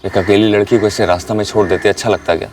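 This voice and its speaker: An actor playing a young runaway bridegroom in a TV crime drama, persuasive and reassuring. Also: male voice, fairly low pitch, fairly even intonation, fast, a little mellow, recorded from a distance, a little hiss or hum, close to an everyday voice. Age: young adult. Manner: coaxing, reassuring.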